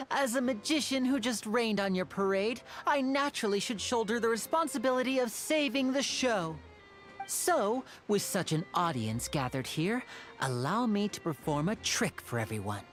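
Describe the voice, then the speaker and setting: A polite, playful young male magician from a video game. The showman's patter rises and falls in lively swings, with music underneath. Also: a little high, measured pace. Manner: courteous, smooth showman's patter.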